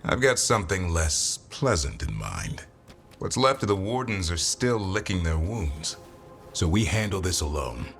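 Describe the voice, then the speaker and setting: An actor playing a gruff, protective warrior bodyguard with a wry, blunt manner in a fantasy video game. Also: male voice, deep voice, sing-song, a little slow, a bit bright, soft background music, heavily acted. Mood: grim, businesslike. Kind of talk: dramatic video game dialogue.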